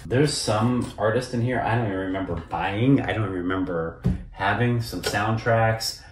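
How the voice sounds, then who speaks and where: Deep-voiced American man in an echoey room. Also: normal speed. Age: 50s. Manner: rambling, conversational. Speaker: vlogger. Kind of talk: casual nostalgic chat to camera. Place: small home office, indoors. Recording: echoey room, distant mic, noisy.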